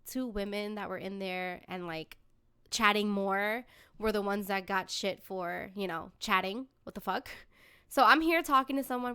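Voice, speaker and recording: Smooth, high-voiced woman hosting casually. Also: pushed and bright. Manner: informal, swearing, giggly. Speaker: podcast host. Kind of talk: casual chat.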